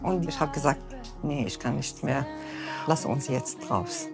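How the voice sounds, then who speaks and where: Woman talking real slow, kinda halting, music in the back. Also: rumbling low, expressive, vocal fry. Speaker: model contestant. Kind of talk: confessional interview.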